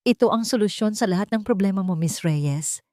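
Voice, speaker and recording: A woman voicing a cold, wealthy matriarch who speaks softly with menacing, controlled authority in an audio drama. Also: medium pitch, expressive, fairly quick, no echo, clean studio sound, natural voice. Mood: cold, threatening. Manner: soft-spoken, controlled, commanding.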